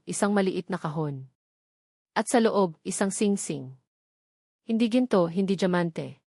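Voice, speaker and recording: A woman's voice: medium pitch, darkish tone, no room sound, studio quality. A woman reading a scripted first-person love story as dramatic narration, in an emotive, sentimental storytelling manner.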